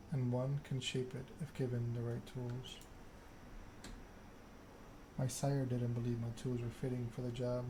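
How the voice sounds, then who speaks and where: A man plays a proud, scheming game vampire, delivering a self-important monologue in ASMR style. The pitch is middling and very level, and clear noise sits behind the voice. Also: lightly acted. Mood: bitter, resolute. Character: proud, scheming vampire aristocrat telling their story. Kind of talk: ASMR read-aloud of game dialogue.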